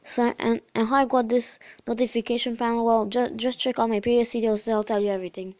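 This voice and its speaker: A host casually talking through a phone tutorial over a screen recording, her voice a bit croaky, often repeating words and filling with "so yeah". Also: a little high, flat delivery, fast. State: slightly croaky voice. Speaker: channel host.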